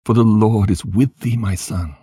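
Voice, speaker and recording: Man acting out a mother's ghost, lifted pitch, grieving, tender, prayerful, consoling, scripture storytelling. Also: normal speed, warm tone, husky, no room sound, clean studio sound. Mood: sorrowful comfort. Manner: weeping, praying, tender. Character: dead mother's grieving, consoling spirit speaking from her grave. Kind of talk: scripture storytelling, dramatic reading.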